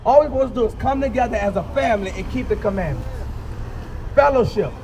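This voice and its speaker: Male street preacher, very high voice, emphatic colloquial call-and-response delivery, recorded outdoors over traffic noise. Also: animated, quick pace, a little mellow. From United States. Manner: emphatic, colloquial, checks in with listeners. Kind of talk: street sermon with call-and-response to a reader and bystanders. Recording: distant mic, clear outdoor traffic noise.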